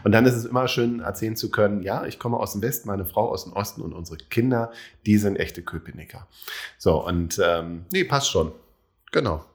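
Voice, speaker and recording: A man's voice: on the low side, fairly quick. A man in his late thirties from Berlin, a politician chatting casually in an interview, self-deprecating and full of fillers. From Berlin, Germany. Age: 30s. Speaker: politician guest.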